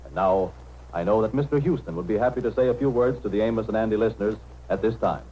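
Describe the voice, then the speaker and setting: A man's voice: lowish voice, fast talker, dark tone. A man announcing formally and ceremoniously in the style of 1930s radio. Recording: dull, faintly noisy vintage radio broadcast.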